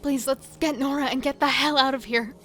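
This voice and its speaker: Casting: a lost, insistent middle sister in horror drama, high voice, urgent and pleading. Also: female voice, steady tone, fairly quick, fairly bright tone, faint music behind. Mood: frantic, distressed. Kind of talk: audio horror drama acting with narration.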